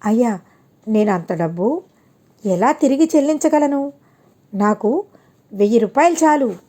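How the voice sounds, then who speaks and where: A woman's voice: on the high side, expressive, measured pace, dark tone. A woman voicing a poor, humble male village priest in a pleading, deferential manner for an audio storybook. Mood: flustered.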